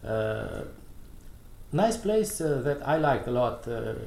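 A man answering interview questions, hesitant with many pauses but good-humored. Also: mid-range voice, very animated, vocal fry, darkish tone. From Romania. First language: Romanian. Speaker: guest, restaurant owner. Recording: roomy sound with faint background.

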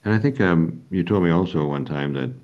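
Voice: low voice, brisk, lo-fi sound. An interviewer asking questions in a casual interview and encouraging the guest with brief replies.

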